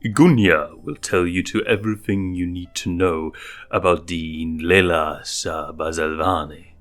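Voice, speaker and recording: Guy reading as a calm dad lion king, lowish voice, measured and authoritative, storybook w music. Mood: firm.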